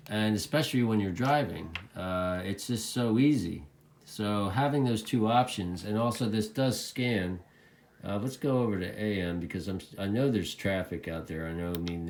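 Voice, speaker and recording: A man's voice: fairly low pitch, slight background noise. A man giving a casual hobbyist product review to camera, rambling along and often repeating his phrases.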